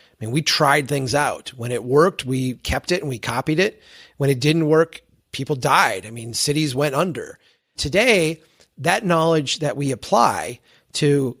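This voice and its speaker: An American man from Minnesota talking as an interview guest, explaining his thinking reflectively with examples and rhetorical questions. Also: fairly high pitch. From small town in central Minnesota, US. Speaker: podcast guest, engineer and planner. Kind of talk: explanatory interview talk with anecdotes.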